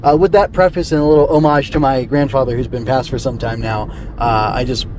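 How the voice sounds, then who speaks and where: A man's voice: medium pitch, steady tone, a little fast, darkish tone, a little hiss or hum. A middle-aged man hosting a solo podcast, talking casually and rambling with digressions. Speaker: podcast host. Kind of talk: casual solo review chat.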